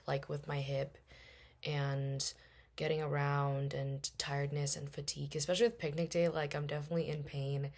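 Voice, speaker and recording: A young woman in her twenties with a hoarse, worn-out voice, hosting a casual solo podcast and rambling along with lots of fillers. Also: low voice. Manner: rambling, chatty, many fillers. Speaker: podcast host.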